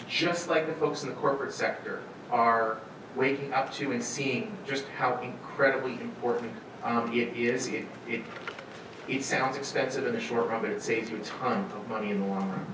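A middle-aged man informally introducing a speaker at a conference, in a halting, wry way. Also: medium pitch. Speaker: event organizer introducing a speaker. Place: auditorium stage at a podium. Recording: echoey hall, distant, noisy room sound.

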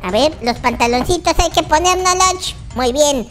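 A host voicing a baby boy character in a very high, childlike voice, giving excitable, exclamatory Minecraft roleplay commentary and repeating words. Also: normal speed. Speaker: gaming video host. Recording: children's music behind the voice. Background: loud playful children's music.

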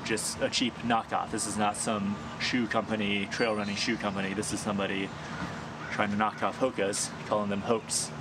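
A man in his thirties casually talking through his hiking gear in a sunny backyard, thinking aloud with fillers and small laughs. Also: fairly low pitch. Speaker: hiking vlogger. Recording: outdoor noise behind the voice.

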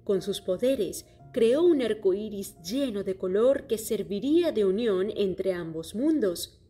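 Mellow lady reading a kids story, steady and clear, quiet music behind. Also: medium pitch, measured pace. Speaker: storyteller for a children's museum. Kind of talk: scripted children's story narration. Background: soft music.

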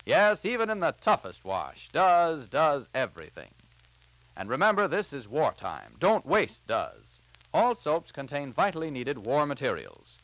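A man's voice: a little high, wildly swooping pitch, unhurried, a bit bright, no echo, rough recording. An American man announcing on 1940s radio, with a polished, emphatic sales delivery, recorded on a transcription disc.

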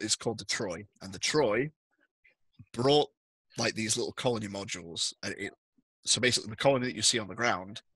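Bright, husky-voiced man running a game. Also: mid-range voice, no room sound. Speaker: game master. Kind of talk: narrating a tabletop roleplaying game. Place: indoors, on a group video call.

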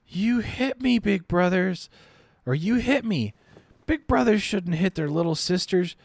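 A man doing a delirious dying girl, high and pleading. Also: lively intonation, unhurried, vocal fry, no room sound, exaggerated voice. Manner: high, small, pleading child-like voice. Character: dying young woman, delirious, childlike and pleading.

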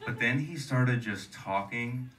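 A young man co-hosting a live podcast on a club stage with a handheld mic, rambling through stories and swinging between loud and soft. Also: mid-range voice, slow, far from the mic, low-quality recording. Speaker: podcast co-host. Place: comedy club stage before an audience.

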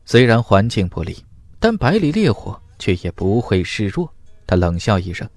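Deep-voiced, animated male audiobook narrator. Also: normal speed, no echo, soft background music. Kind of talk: dramatic scripted fantasy narration.

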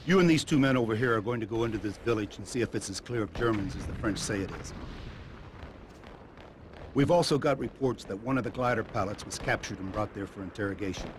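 An actor playing a commanding American army officer giving brisk orders in a video game cutscene. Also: male voice, on the low side, noisy background, close to an everyday voice. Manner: brisk, authoritative.

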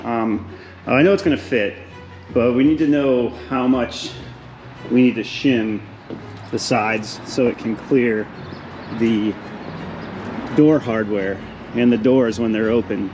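A man's voice: mid-range voice, measured pace, dull audio. A man narrating a DIY renovation vlog in a casual, informal way, explaining things hands-on as he works. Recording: echoey room, music underneath.

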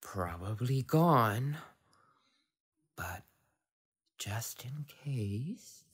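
An actor playing a jittery camper in a found-footage horror short, talking haltingly to a camera. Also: medium pitch, lively intonation, slightly rough, exaggerated voice. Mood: hushed, wary. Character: jittery camper filming alone, increasingly frightened. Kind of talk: found-footage horror acting, whispered asides to viewers.

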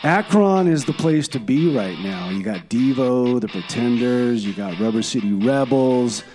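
Casting: male actor as laid-back time-traveling caller, soft mellow tone, offhand rambling, faint guitar music underneath. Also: mid-range voice, lively intonation, measured pace, natural voice. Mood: casual, rambling. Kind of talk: casual comedy radio monologue.

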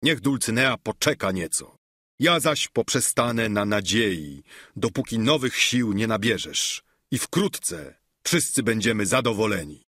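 A man voicing a solemn, kindly gentleman knight in a storybook, exhorting in grand, caring phrases. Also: on the low side, very animated, a little slow, breathy edge, no echo, studio quality, full character voice. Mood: earnest.

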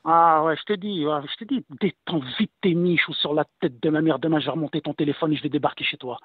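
A man's voice: fairly high pitch, fairly even intonation, fast, slightly rough, no echo. Furious man threatening over a dull phone line. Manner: aggressive, threatening, cursing. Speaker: seller pranked on the phone. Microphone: heard over a phone call.

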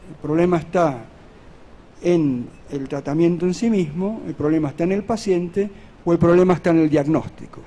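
A middle-aged man speaks into a stand microphone at a podium in a large conference hall. His voice sits high yet sounds dark and mellow. He lectures in a measured, earnest way, often posing a question and then answering it. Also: husky.

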